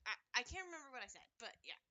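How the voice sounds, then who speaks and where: A woman's voice: fairly high pitch, big pitch swings, rapid-fire, heavy background noise. A woman who just woke up, speaking sleepily and a little hesitantly as a guest on her husband's livestream. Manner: casual, rambling, slightly hesitant. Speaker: host's wife, guest on stream. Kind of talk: casual chat.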